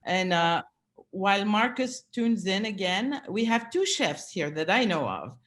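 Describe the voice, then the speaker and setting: A woman who grew up in Switzerland after Lebanon, hosting a casual video-call chat in an effusive, halting way. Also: medium pitch, big pitch swings, a bit bright. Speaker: event host, champagne producer.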